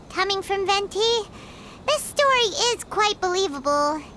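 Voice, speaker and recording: A woman voicing a tiny, chatty, childlike fairy companion with a squeaky voice in a video game. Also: animated, slow, very noisy, full character voice. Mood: doubtful, exasperated. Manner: exclamatory, incredulous questioning. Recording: loud music under the voice.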